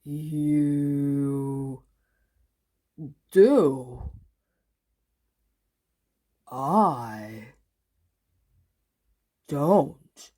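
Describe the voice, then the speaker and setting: A woman acts out a sluggish male storybook character in a low register. He drags each word out extremely slowly and with effort. He sounds reluctant, as if everything is too much bother. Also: mellow, full character voice. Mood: glum, unimpressed. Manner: drawn-out, laboured words.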